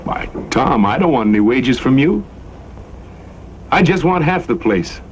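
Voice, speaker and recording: Actor as cocky, swooping cowboy gunslinger. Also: male voice, medium pitch, expressive, measured pace, natural voice. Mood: gleeful menace. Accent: American. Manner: sly, mock-innocent drawl with folksy turns of phrase. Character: cocky, taunting hired gunslinger in the Old West. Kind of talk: Western radio drama acting. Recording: faint noise, old radio drama recording.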